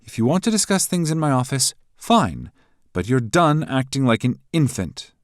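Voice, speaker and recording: A man's voice: mid-range voice, big pitch swings, normal speed, dry recording, clean studio sound, pitched above the performer's own voice, lightly acted. A man voicing a cold, mocking woman official who speaks coolly and sharply. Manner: cool, sharp, condescending.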